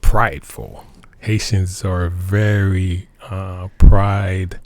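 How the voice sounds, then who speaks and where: A middle-aged man talks in a personal interview. His voice sits low with noticeable fry, captured close and dry. He searches for words and repeats short phrases to stress them. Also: little pitch movement, darkish tone, dry recording. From Montreal, Canada. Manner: halting, repeats phrases for emphasis. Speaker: interview guest, military veteran.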